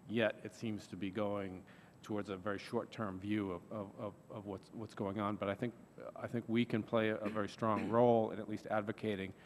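A man's voice: fairly low pitch, a little hiss or hum. A man in his forties testifying at a hearing, gesturing and repeating words as he restarts phrases at a desk microphone. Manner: repetitive stammering restarts, gesturing. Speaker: witness at a hearing. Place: large wood-panelled hearing room. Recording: long reverb of a large hearing room.

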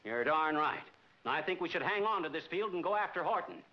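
High-voiced, blustering little club president played by a man. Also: expressive, fairly quick, natural voice. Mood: brash, officious. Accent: American. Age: middle-aged. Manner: brash, wisecracking, mangles big words. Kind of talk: 1940s film comedy acting. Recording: dull, noisy 1940s film soundtrack.

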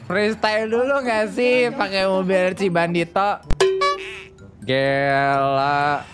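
A woman's voice: mid-range voice, slow, loud music behind the voice. A woman in casual gaming roleplay chat, teasing and often breaking into sing-song chants. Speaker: gaming streamer.

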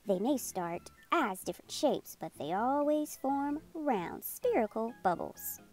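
A woman narrating a children's read-aloud in an expressive, deliberate storytelling manner. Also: on the high side. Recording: music behind the voice. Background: music.

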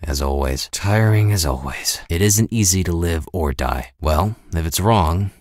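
A cool, world-weary American mercenary for a video game. The voice is very low and recorded dry and close, with no room around it. He speaks in short, understated, deadpan remarks, sounding cynical and unimpressed. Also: unhurried, no room sound.

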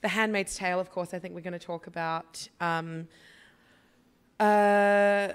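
An Australian woman with a husky voice from a cough, talking casually on a stage panel with wry asides and many fillers. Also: on the low side. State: has a cough. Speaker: panelist, writer. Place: theatre stage before an audience. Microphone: stand microphone.

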